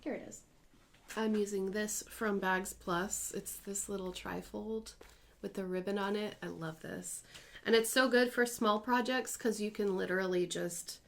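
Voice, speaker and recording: Woman in her 30s, crafting vlog at home, rambly chatty talk, fixes her own words as she goes, mid-pitched voice. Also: gravelly. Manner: chatty and rambling, with self-corrections. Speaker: cross-stitch vlogger.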